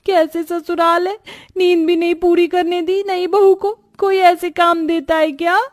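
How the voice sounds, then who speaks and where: A woman's voice: very high voice, level tone, unhurried, voice pushed up. A woman voicing a young, put-upon new bride who complains and protests plaintively in a cartoon story. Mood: whining dismay.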